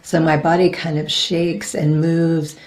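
A woman in her forties with a slightly raspy voice talks casually to camera as a reiki practitioner, rambling and often pausing to search for words. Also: low-pitched, flat delivery, darkish tone. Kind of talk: casual spiritual vlog talk. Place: indoors, virtual blossom backdrop.

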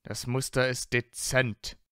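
Guy acting as proud young wizard aristocrat, smooth clear voice, dry close sound, teasing audio drama banter. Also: medium pitch, level tone, slow pace, fairly bright tone, no room sound.

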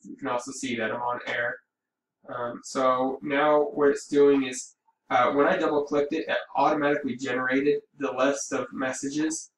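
A man giving a casual, explanatory software walkthrough as a hobby radio operator, thinking aloud as he goes. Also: mid-range voice, flat delivery, moderate pace. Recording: roomy, distant mic with background noise.

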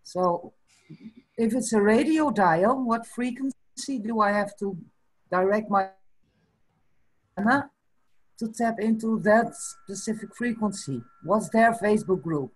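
A Dutch woman in her fifties whose first language is Frisian, talking slowly and thoughtfully in a casual chat over a webcam from a small room. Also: mid-range voice, breathy edge, rough recording. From Netherlands. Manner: slow and thoughtful, breaks into small laughs. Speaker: guest. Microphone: video call webcam.

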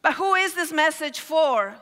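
A middle-aged woman from Argentina, preaching an animated sermon on stage with a headset mic, telling stories and calling on the crowd. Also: high-pitched, a little slow. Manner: animated storytelling with gestures, calls on the crowd. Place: large hall stage with audience. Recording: reverberant large hall.

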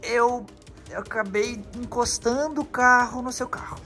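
A man's voice: very high-pitched, fairly even intonation, measured pace, sharp tone. A young man vlogging casually to a handheld camera, joking and pleading to make amends. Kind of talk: casual vlog chat. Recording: music behind.

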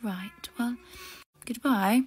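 A woman's voice: on the high side, slow, breathy edge. A woman voicing a haughty desk clerk in a game roleplay who patronizingly calls people darling. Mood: condescending.

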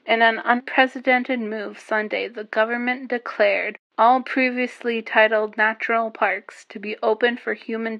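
A woman playing a composed evening news anchor in an audio drama, reading a bulletin in a measured, formal way. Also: a little high, vocal fry, muffled recording.

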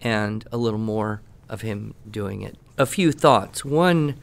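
A man in casual podcast talk, giving teaching advice in a measured, deliberate, dryly playful way. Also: lowish voice, expressive, vocal fry. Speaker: podcast guest expert answering questions.

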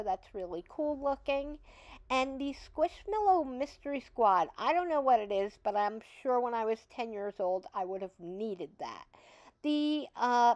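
Chatty upbeat lady doing a shopping voiceover, kinda high voice, dry close sound. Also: a little mellow, no room sound. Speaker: vlog host. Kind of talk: casual shopping voice-over.

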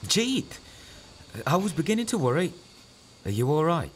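A man's voice: a little high, sing-song, normal speed, slight background noise. An actor playing a concerned, conciliatory and slightly hapless middle-aged father in a radio soap.

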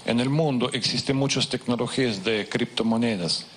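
A man's voice: mid-range voice, moderate pace, a bit bright, a little hiss or hum. A man interpreting consecutively, hesitant with fillers and restarts.

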